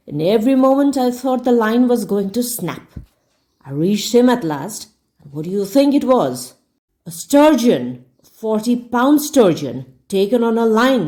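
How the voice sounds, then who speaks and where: Old gentleman angler, played by a female reader: deliberate, emphatic storybook tall-tale teller, mellow, animated intonation. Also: medium pitch. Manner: deliberate, emphatic, addresses listener as sir. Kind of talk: storybook character voice.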